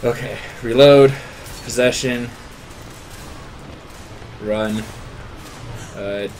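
A man playing a video game, giving casual, excitable commentary full of swearing and self-mocking banter. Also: medium pitch, extremely slow. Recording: faint game noise behind voice.

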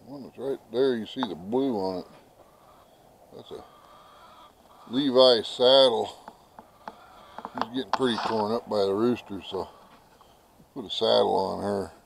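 A man's voice: on the low side, a little slow, vocal fry, pauses often. A middle-aged man with an American accent chatting casually and folksily in a homestead vlog, filming himself outdoors on a handheld camera. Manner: folksy, chatty, talks to his birds. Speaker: homestead vlogger. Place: outdoors in a snowy farmyard. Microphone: handheld camera at arm's length. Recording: noisy outdoor camera audio, birds clucking.

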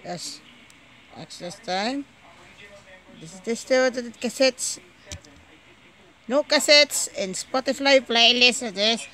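A person presenting a casual home unboxing video in a halting, disjointed way, often repeating words. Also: mid-range voice, bright, forceful tone, low-quality recording. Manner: halting, disjointed, repetitive delivery. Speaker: amateur video maker showing gadgets.

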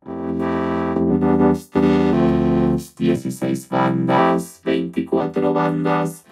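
A man's voice: very slow, over loud music. A man voicing a robot through a vocoder, with buzzy synthesizer tones in place of his natural voice. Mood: robotic, mechanical. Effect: vocoder robot filter with synth carrier. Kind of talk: microphone test demonstration.

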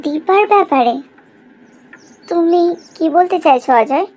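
A woman's voice: very high-pitched, lively intonation, unhurried, darkish tone. A woman playing a confident, teasing young newlywed wife in a cartoon drama, with emphatic questioning. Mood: surprised, determined. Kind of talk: animated cartoon drama dialogue.

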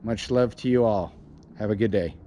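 A man's voice: lowish voice, normal speed, mellow, a little hiss or hum. A man in his forties from Illinois, chatting upbeat and casual to a handheld camera outdoors, eagerly asking viewers for advice. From Illinois, USA. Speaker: fishing channel host. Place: outdoors in front of a house garage. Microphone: camera at arm's length.

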